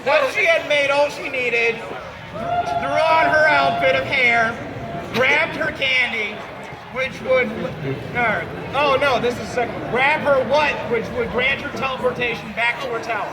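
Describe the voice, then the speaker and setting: A man telling an improvised comic story to a live crowd, theatrical and halting, heckling his own tale with asides. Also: very high-pitched. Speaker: storyteller leading an audience word game. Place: busy public venue with a crowd. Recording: noisy crowded public space, loud background bustle.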